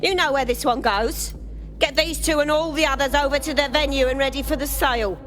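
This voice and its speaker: A woman's voice: high-pitched, a little fast, a bit bright, soft background music. An actress playing a condescending British female crime boss in a video game, taunting with falsely soothing endearments. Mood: falsely sweet, menacing. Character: menacing female crime boss trafficking people, mockingly tender. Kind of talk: dramatic video game acting.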